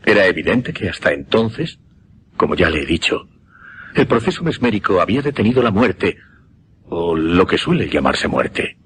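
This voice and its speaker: An actor playing an intense mesmerist who recounts a macabre experiment in a suspense radio drama, with a Castilian accent and deliberate, emphatic delivery. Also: male voice, sits low, very animated, a bit bright, a little hiss or hum. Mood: solemn, deliberate. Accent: Castilian Spanish.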